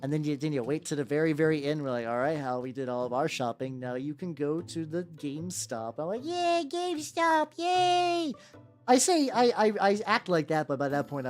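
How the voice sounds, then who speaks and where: A young man with a relatively high voice chatting casually on a livestream while painting miniatures, rambling and often repeating himself. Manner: rambling, repeats words, laughs often, squeals in playful high bits. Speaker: VTuber streamer. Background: soft background music.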